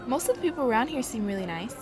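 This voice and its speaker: Woman as a quick-talking, sweet teenage girl. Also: a little high, very animated, music in the background. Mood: grateful. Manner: polite, earnest. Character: polite, sweet, devout teenage girl, newly moved. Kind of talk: amateur audio drama acting.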